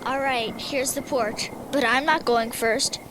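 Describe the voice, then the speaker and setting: A woman's voice: high voice, fairly even intonation, measured pace, heavy background noise. An actress playing a timid, anxious young girl in a children's horror audio drama, nervous and whiny in manner.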